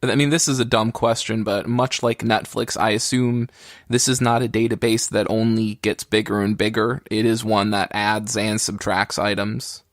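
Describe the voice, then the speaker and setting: Man, fairly low voice, dry studio-like sound, wry, conversational podcast chat. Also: fairly even intonation, moderate pace, no echo. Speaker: podcast co-host.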